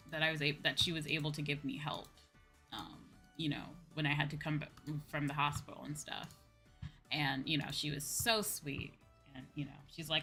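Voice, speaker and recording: An American woman chatting casually to viewers as a livestream host, animated and repeating phrases for emphasis. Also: deep voice. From United States.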